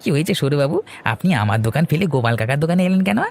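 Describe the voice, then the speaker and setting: A man's voice: fairly high pitch, expressive, racing, creaky, slight background noise. Man acting an exclamatory, grumbling shopkeeper in a cartoon. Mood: upset, contrite. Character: arrogant, irritable rich shopkeeper, a henpecked husband.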